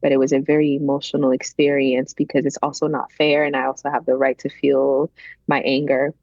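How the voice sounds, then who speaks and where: A woman's voice: a bass voice, flat delivery, a little fast, a little mellow, dry recording, dull audio. A woman interview guest telling her personal story in a reflective, emphatic way, repeating phrases for weight. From Benin, later grew up in the United States.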